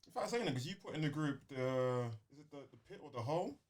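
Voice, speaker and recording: A man's voice: a little high, sing-song, fast talker. Hesitant man in rambling casual chat. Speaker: podcast co-host.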